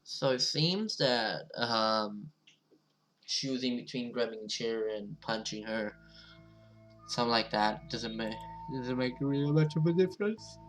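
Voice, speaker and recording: A male gaming host with a mid-pitched voice reads visual novel narration aloud over prominent music. He mixes the story text with casual asides, now and then tripping on a word and saying it again. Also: a little slow, a bit bright, poor audio. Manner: stumbles over read words and repeats them.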